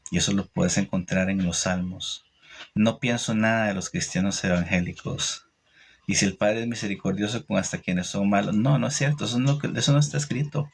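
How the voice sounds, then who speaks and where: Low-voiced Costa Rican man, opinionated. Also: husky. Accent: Costa Rican Spanish. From Costa Rica. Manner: hesitant pauses with repeated phrases, opinionated and didactic. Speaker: religious teacher hosting a livestream. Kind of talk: informal religious talk answering live comments.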